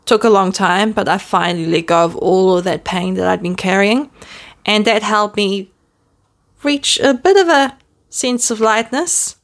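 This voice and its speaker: A woman with a New Zealand accent hosting her own podcast, talking to camera in a gentle, candid, self-deprecating way, filmed at home. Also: mid-range voice, measured pace. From born in the Netherlands, raised in New Zealand. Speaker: podcast host. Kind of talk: casual reflective monologue to camera. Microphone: self-filmed video camera.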